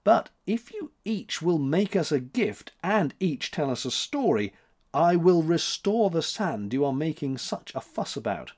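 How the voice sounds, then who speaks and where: Man as bold little boy, raised pitch. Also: big pitch swings, unhurried, darkish tone. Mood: bargaining. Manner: bold, bargaining. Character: Max, stubborn cheeky little boy. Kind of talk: storybook character reading.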